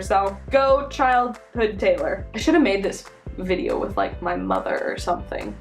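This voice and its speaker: A young woman with an American accent chats like a vlogger sharing personal stories. Her voice sits fairly high and her delivery is casual and emphatic, strewn with "like". Soft music runs behind her. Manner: animated, emphatic, peppered with "like". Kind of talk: casual, chatty personal storytelling.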